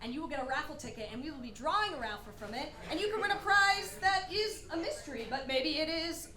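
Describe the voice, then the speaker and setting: A woman's voice: high-pitched, expressive, quick pace, pushed and bright. A woman hosting a live show in a playful, exuberant, theatrical way, hyping up the audience. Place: tavern stage before a live audience. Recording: roomy live venue with music, crowd.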